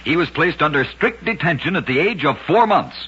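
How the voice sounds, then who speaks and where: A man narrating short, strange tales as a radio host, reading a script with dramatic, deliberate delivery on an old recording. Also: a little high, expressive, bright, forceful tone, slightly rough. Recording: dull vintage radio recording.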